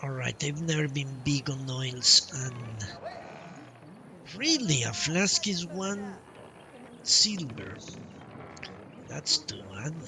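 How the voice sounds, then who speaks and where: Slow-talking man muttering through a game stream. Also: mid-range voice, expressive, noisy background. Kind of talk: casual live game playthrough commentary, thinking aloud. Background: game music.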